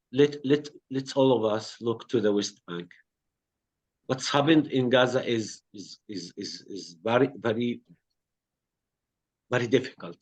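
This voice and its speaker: A man's voice: mid-range voice, darkish tone. A man speaking earnestly on an online panel, often repeating words as he searches for how to say things. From Palestine. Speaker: co-director of a peace network, panel speaker.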